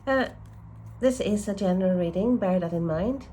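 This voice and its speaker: A woman's voice: medium pitch, normal speed, dark tone, a little hiss or hum. A woman giving a casual tarot reading, sounding bunged up from a cold and musing aloud with frequent self-corrections. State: congested from a lingering cold. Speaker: tarot reader.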